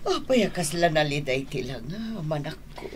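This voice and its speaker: A woman's voice: low voice, very animated, normal speed, slight background noise. An actress playing a fussing, anxious mother in an Ilocano radio drama, exclaiming and drawing out her words, with an Ilocano accent. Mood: concerned, fussing.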